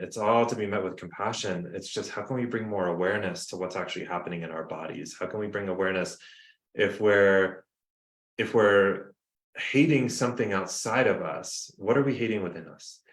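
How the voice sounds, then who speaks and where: A man's voice: lowish voice, normal speed, roomy sound. A man with a New Jersey accent, talking earnestly as a podcast guest on a video call. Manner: animated, earnest, storytelling. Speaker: guest, coach. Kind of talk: casual podcast conversation.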